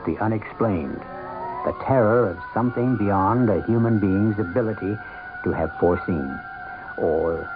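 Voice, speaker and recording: Ominous, gravelly male radio host. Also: low-pitched. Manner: ominous, measured, theatrical. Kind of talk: dramatic scripted narration. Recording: tinny old radio broadcast. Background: suspense music.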